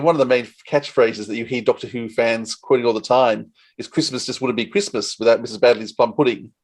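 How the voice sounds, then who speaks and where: A man's voice: mid-range voice, a little mellow. A man hosting a conversational interview, chatty and rambling, with plenty of enthusiasm. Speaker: podcast interviewer.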